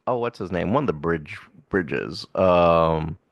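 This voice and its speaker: A man's voice: sits low, animated, takes it slowly, dull audio. A man with a Southern US accent co-hosting a casual movie review podcast, laid-back and chuckling as he talks. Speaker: podcast co-host.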